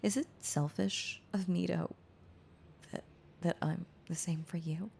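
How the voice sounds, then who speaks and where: A woman playing a heartbroken, tender former girlfriend in an intimate audio roleplay, confessing haltingly in a breathy, husky voice that slips into whispers. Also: fairly low pitch, fairly even intonation, darkish tone, slight background noise. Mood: tender, bittersweet. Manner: halting, confessional, repeating words, breaking into whispers.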